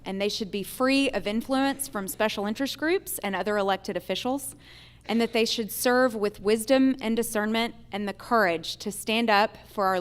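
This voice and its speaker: Woman, judicial candidate, prepared stump speech, smooth resonant voice, mid pitch. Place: theater, live event.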